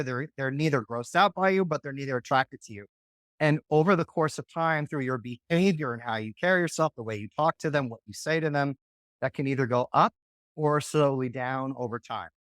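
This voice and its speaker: A man's voice: fairly high pitch, a bit bright, no echo. A man coaching on dating in a podcast interview, talking casually and often checking in with "right?".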